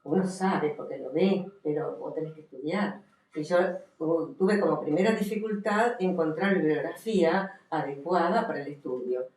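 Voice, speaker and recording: A woman's voice: low voice, moderate pace, a little mellow. An Argentine woman, an author and historian, presenting her work as a guest and recounting it to listeners. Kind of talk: book presentation, reading aloud and recounting. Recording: echoey room, faint music.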